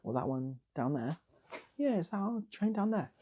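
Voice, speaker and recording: Man doing a high-pitched, baffled platform onlooker. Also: big pitch swings, moderate pace, warm tone. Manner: hesitant, baffled questioning. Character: puzzled onlookers on a station platform.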